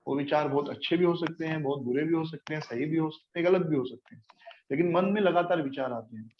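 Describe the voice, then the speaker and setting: A man's voice: fairly high pitch, fast. A man giving an explanatory spiritual lecture as a teacher, asking his listeners rhetorical questions and mixing in English terms. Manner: asks the listeners rhetorical questions and checks they follow. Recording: echoey room, dull lo-fi sound, faint noise.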